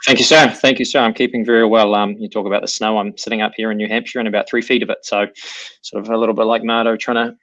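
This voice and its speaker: A man from New Zealand with a New Zealand accent, an assistant coach answering interview questions in a relaxed, thoughtful way. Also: fairly low pitch, fast, dry recording, rough recording. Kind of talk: remote interview.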